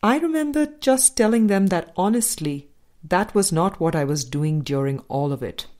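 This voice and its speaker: A woman narrating an audiobook, reading from a script in a measured manner. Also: lowish voice, lively intonation, a little mellow.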